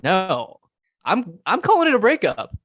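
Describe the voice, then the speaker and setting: A woman's voice: medium pitch, wildly swooping pitch, a little slow. A woman reading the part of a supportive boyfriend who is a doctor, eager at first and then hurt and stammering.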